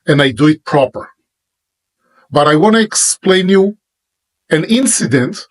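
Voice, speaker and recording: A man's voice: fairly high pitch, rough recording. Emphatic Israeli man preaching. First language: Hebrew. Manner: emphatic, preachy, with pauses between phrases. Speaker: religious teacher. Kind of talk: monologue sermon.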